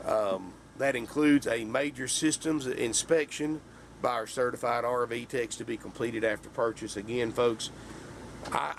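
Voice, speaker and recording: A man, hoarse from a sinus infection, giving a folksy sales pitch for a camper van and calling his viewers folks. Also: a little hiss or hum. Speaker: RV dealer. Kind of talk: sales pitch walkthrough video.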